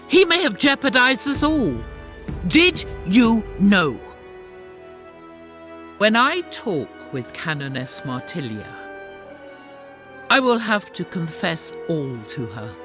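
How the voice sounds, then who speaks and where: A woman's voice: medium pitch, big pitch swings, slow, long pauses, sharp tone, exaggerated voice. An actress playing a wary female battle sister in a game cutscene, pointed and accusing. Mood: defiant. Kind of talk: video game cutscene acting. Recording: game audio over music.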